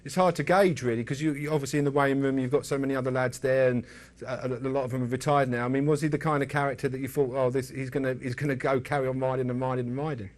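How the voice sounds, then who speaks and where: A man hosting an informal sports interview, speaking haltingly and repeating words as he frames his questions. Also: mid-range voice, fairly even intonation. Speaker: interview host.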